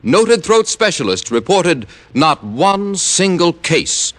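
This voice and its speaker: A man's voice: high-pitched, very animated, takes it slowly, a bit bright. A man announcing in an emphatic, persuasive 1950s radio advertising style.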